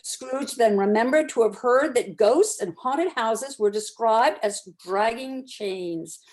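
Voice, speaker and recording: A woman with a fairly high voice narrates a classic story. She reads aloud as a community storyteller, in an expressive, deliberate and dramatic way. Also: rough recording.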